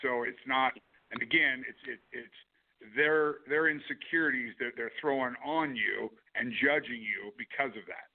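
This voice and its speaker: A man co-hosting a casual talk show, talking excitably and rambling, often restarting his sentences. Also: mid-range voice, normal speed. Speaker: co-host, fighter. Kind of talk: casual chat. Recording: phone-like line.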